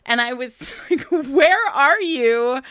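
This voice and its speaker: A middle-aged woman podcast host chatting casually and telling anecdotes in an animated way, acting out the dialogue. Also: high-pitched, takes it slowly, clean tone, no room sound, studio quality. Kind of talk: casual conversational storytelling.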